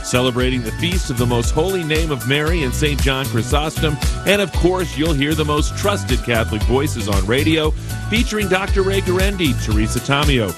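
A man's voice: fairly high pitch, lively intonation, moderate pace, music in the background. A man voicing a radio promotion in an upbeat, promotional read.

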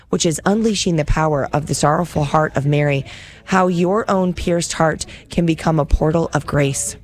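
Woman, radio host, pledge drive, upbeat, chatty. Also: low voice. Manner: upbeat, chatty, repeats numbers.

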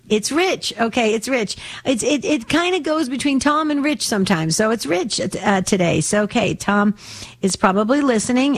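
A woman hosting a religious radio call-in show in a chatty, earnest, informal way, with a slightly raspy voice. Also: medium pitch.

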